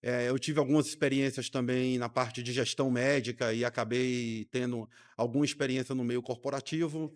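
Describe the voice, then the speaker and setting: A man's voice: mid-range voice, steady tone, normal speed, hardly any pauses. Earnest doctor in his fifties. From Rio de Janeiro, Brazil. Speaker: doctor, interview guest. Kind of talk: casual interview conversation. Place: studio.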